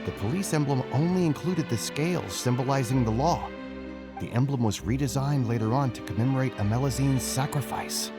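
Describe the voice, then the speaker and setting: An actor voicing an easygoing, joking city guard in a video game. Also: male voice, mid-range voice, a little fast, music in the background, natural voice. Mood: conversational.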